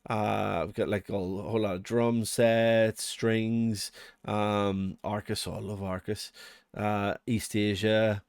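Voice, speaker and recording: A man's voice: fairly low pitch, level tone, unhurried, vocal fry, clear, resonant tone, no room sound, studio quality. A man with a Northern Irish accent giving a casual, unscripted walkthrough as a tutorial host, chatty, rambling and self-deprecating. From Northern Ireland. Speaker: music production channel host.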